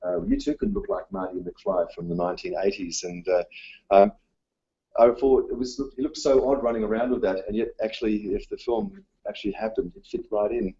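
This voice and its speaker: A male teacher whose first language was Dutch, giving a conference talk in a halting way, full of ums and uhs. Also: medium pitch, sing-song, creaky, dark tone, slightly rough, highs cut off. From Australia. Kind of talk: presentation talk. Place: conference room.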